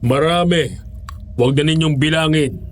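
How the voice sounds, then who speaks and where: A man's voice: on the high side, normal speed, natural voice. A man acting a curt, secretive boss who orders kitchen staff around in a horror audio drama. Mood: curt, bossy.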